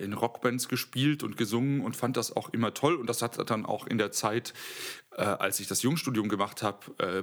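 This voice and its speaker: A man in his twenties, an opera singer, answering interview questions thoughtfully with many pauses and restarts. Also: on the low side, fairly bright tone. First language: German. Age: 20s.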